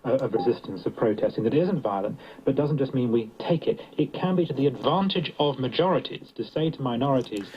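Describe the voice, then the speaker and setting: A man's voice: mid-range voice, expressive, brisk, few pauses, a crowd in the background. A man in a thoughtful discussion heard over a radio, arguing earnestly and articulately. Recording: muffled shortwave radio through speaker.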